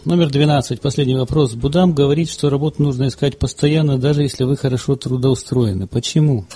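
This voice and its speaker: A man hosting a casual panel talk show, speaking in a measured way and reading out numbered questions. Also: medium pitch, warm tone. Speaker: podcast host.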